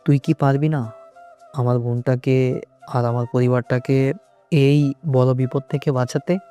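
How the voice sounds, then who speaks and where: A man's voice: mid-range voice, steady tone, measured pace, mellow, soft background music, natural voice. A man playing an earnest young friend and brother, solemn and pleading, in a romantic audio drama. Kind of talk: romantic audio story acting.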